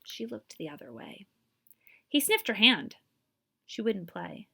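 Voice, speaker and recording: A woman reading a children's picture book aloud as a librarian, with expressive, emphatic delivery and dramatic pauses. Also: mid-range voice, creaky, slightly rough.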